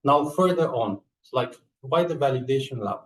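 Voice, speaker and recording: A man with a Romanian accent giving a scientific slide presentation in a halting, hesitant way with frequent filled pauses. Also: medium pitch, animated, slight background noise. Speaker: research scientist and lab coordinator presenting. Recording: echoey room, distant microphone.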